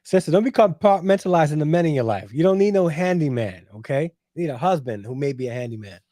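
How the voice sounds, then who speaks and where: A man's voice: on the high side, animated, fast, dark tone, dry recording, studio quality. A man in his forties hosting a casual livestream, reading chat and answering with playful, teasing banter. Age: 40s. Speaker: host reading live chat.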